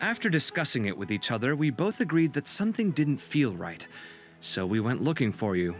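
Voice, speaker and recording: Man playing an animated, earnest young-sounding friend. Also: mid-range voice, fairly quick, natural voice. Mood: explaining, concerned. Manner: conversational, animated. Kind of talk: video game voice acting. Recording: music behind the voice. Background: game music.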